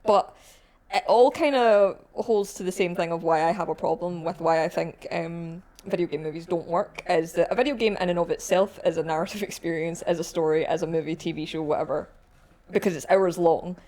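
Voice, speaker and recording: A woman's voice: fairly low pitch, brisk, mellow. A woman co-hosting a podcast in casual panel chat with fellow arcade staff. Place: arcade shop, indoors.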